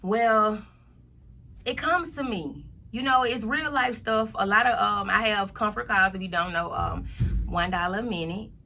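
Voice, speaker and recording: A woman's voice: mid-range voice, a little slow, pushed and bright. A woman of thirty-one, speaking as a motivational guest in a casual interview, emphatically repeating her points.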